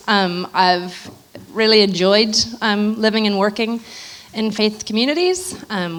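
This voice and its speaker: An American woman speaks as a panel guest. Her voice sits in a mid range, and her manner is friendly and quick to laugh. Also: mid-range voice. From United States. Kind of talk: panel discussion.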